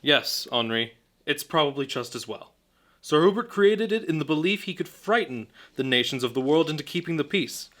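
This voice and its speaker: Radio serial hero, male actor: noble, genial, self-assured, with a highly varied, lively delivery. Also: normal speed. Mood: earnest. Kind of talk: old-time radio serial acting.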